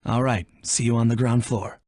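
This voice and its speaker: A man's voice: medium pitch, steady tone, moderate pace, a little mellow, no room sound, studio quality, close to an everyday voice. A man playing a cool, wisecracking master thief in a video game, with a wry, laid-back delivery.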